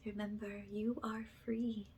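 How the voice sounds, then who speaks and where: A woman with a soft, breathy voice that often drops to a whisper, gently leading a soothing spiritual ASMR session in a reassuring way. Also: mid-range voice, flat delivery, unhurried, a little hiss or hum. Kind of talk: ASMR, soothing guided energy cleanse.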